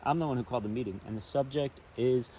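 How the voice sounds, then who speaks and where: A man's voice: medium pitch, quick pace, slightly rough. A man reading a story aloud informally and chattily, with asides of his own, recorded outdoors. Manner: chatty, easily sidetracked, commenting as he reads. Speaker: storybook reader. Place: outdoors by a river. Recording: distant outdoor mic with steady background noise.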